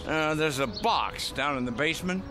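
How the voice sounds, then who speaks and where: A man's voice: a little high, animated, normal speed, music in the background, natural voice. An actor playing a cranky, nostalgic old man in a radio drama, grumbling and theatrical. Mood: coaxing. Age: older.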